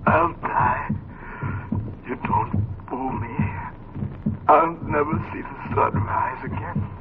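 A man's voice: medium pitch, distant mic, heavy background noise, lightly acted. An actor playing a desperate, wounded male patient in a melodramatic 1940s radio drama, drawing out his anguished pleas. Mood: anguished, defiant. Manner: melodramatic, slow drawn-out anguished delivery. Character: desperate wounded heart patient pleading against surgery. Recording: old 1940s radio, muffled, loud music.

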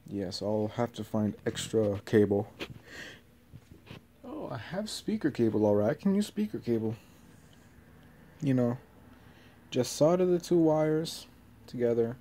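A man's voice: medium pitch, very animated, a little slow, pauses often, mellow. A young man talking casually in a meandering, hesitant, offhand way, like an amateur vlogger on a handheld phone in a small bedroom. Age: young adult. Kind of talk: casual rambling vlog talk. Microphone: handheld phone at arm's length. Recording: noisy phone recording with clear hiss.

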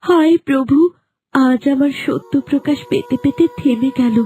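A woman playing a gentle, sad young princess in a cartoon fairy tale, plaintive and melodramatic. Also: high voice, normal speed, soft background music, lightly acted. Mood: sorrowful. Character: gentle, sorrowful young princess cursed into a plant.

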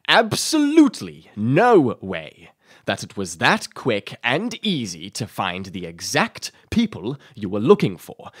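A man's voice: lowish voice, dry recording, clean studio sound, heavily acted. An actor playing an incredulous, theatrical male narrator in an audio drama, emphatic and exasperated.

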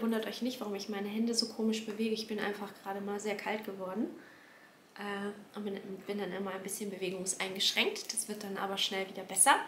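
A woman's voice: mid-range voice, fairly bright tone. Woman in her 40s rambling on about beauty products at home, bit nasal from a cold, roomy sound with a faint hiss. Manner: chatty, rambling, self-correcting with many fillers. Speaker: beauty vlogger. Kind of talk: casual chatty product update. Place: home room, indoors.

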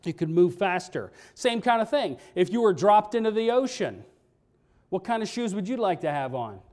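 A man's voice: sits high, sing-song, normal speed, darkish tone. A middle-aged man preaching as pastor in a church, speaking in a conversational way and asking the congregation questions. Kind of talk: sermon. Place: church sanctuary, standing at a pulpit. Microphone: pulpit microphone, filmed by a fixed camera.

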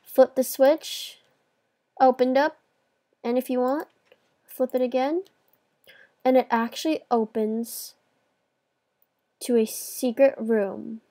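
A woman's voice: a little high, a little mellow. A woman hosting a video game tour, chatting informally as she gives casual commentary on what she is showing.

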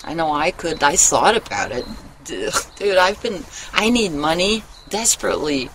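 A woman's voice: sits low, very animated, moderate pace, a bit bright, low-quality recording. A middle-aged woman vlogger chatting casually and confessionally to camera, rambling and candid, with casual swearing. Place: outdoors in a wooden shelter in the woods. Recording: clear outdoor ambient noise.

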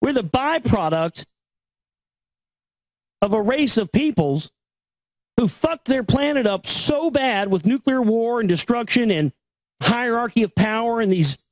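A man reads and comments in a high-pitched voice. He is blunt and emphatic, hammering points through repetition and swearing freely. The sound is dry, with no room around it. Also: no room sound, low-quality recording. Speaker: host reading a book with commentary. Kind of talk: impassioned commentary and book reading.

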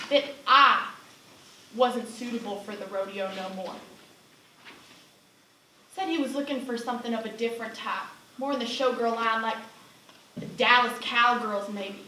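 A woman's voice: fairly high pitch, sharp tone. A young woman on stage playing a brash, fed-up rodeo cowgirl with a Southern US accent and a salty, folksy, emphatic manner. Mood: defiant. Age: young adult. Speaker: student actress performing a monologue. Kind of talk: theatrical stage monologue. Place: indoor theater stage. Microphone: far camera. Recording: distant, echoey theater recording with noise.

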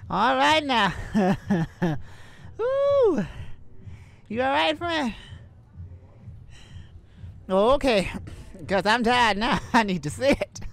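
A woman playing an outgoing, playful, sassy young best friend in an audio roleplay, theatrical and teasing, with drawn-out words. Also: a little high, sing-song, vocal fry, heavily acted. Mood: excited. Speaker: ASMR roleplay voice actor. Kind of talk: ASMR audio roleplay, addressed to a listener.

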